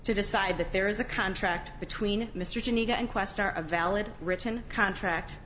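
A woman speaking as a lawyer, giving a formal, firm and measured argument to an appeals court. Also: mid-range voice, a bit bright. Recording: muffled courtroom recording, faint background noise.

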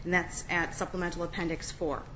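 A woman speaking as a lawyer, giving a formal, firm and measured argument to an appeals court. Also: on the low side, level tone. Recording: muffled courtroom recording, faint background noise.

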